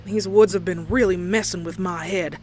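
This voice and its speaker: An uneasy young country mare with a Southern US drawl, voiced by a woman, tells a horror story in dramatic first person. Her talk is folksy and anxious. Music plays clearly behind her. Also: fairly low pitch, fairly even intonation, normal speed, close to an everyday voice. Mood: nervous, concerned.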